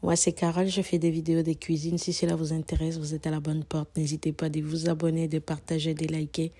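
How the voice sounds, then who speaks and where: A woman with a cold narrates her home cooking, her voice hoarse and fairly low. She speaks in a flat, even tone, chatty and loose, repeating words and dropping in fillers as she works. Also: fast. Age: adult mother. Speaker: cooking channel host. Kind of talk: casual cooking tutorial narration.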